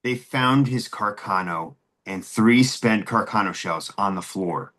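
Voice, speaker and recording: A man's voice: lowish voice, a little slow, a bit bright. A man playing an angry, confrontational bully in a screenplay table read, with a blunt, accusatory manner. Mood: angry, accusing.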